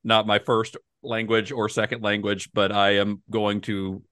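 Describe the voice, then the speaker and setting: A man's voice: fairly low pitch, level tone, unhurried, pushed and bright, clean tone, no room sound, studio quality. A man co-hosting a film podcast, talking casually with frequent restarts and laughs. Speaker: podcast co-host.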